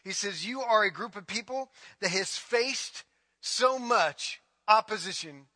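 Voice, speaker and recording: A man's voice: sits high, lively intonation, unhurried, pushed and bright, no room sound. A man preaching a sermon, emphatic and repetitive as he drives each point home. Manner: emphatic preaching, repeating phrases. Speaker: pastor.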